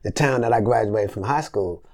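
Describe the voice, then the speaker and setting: A man who grew up in Memphis, a vocal coach and producer, telling animated stories in a casual interview and acting out the voices he quotes. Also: lowish voice, moderate pace, crowd noise. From Memphis, Tennessee.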